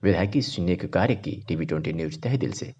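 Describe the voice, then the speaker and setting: A man's voice: low-pitched, expressive, fast, darkish tone, husky. A man reads scripted regional news as a reporter. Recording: dull, muffled voice-over.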